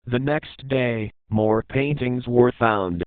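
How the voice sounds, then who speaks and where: A man's voice: mid-range voice, slow, clean tone, dry recording, phone-quality sound. A man reading scripted horror narration in the manner of a documentary report.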